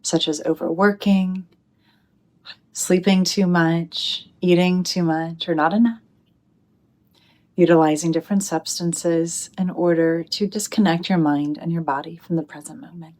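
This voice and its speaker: A woman leading a guided meditation in a gentle, soothing manner, with deliberate phrasing and pauses. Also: lowish voice, steady tone.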